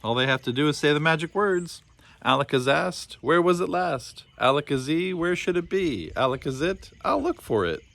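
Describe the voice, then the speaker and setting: A man's voice: a little high, lively intonation, moderate pace, vocal fry, a bit bright, pitched above the performer's own voice. Man voicing a folksy, cheerful old storybook codger. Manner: folksy, cheerful, absent-minded. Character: cheerful, forgetful old man running a lost-things land. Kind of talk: storybook character voice.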